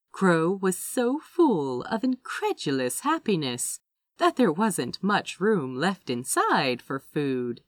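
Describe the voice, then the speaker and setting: A woman reading fiction aloud as an audiobook narrator, expressive and dramatic, lingering over her phrases. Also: on the low side, no echo, clean studio sound. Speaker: audiobook reader.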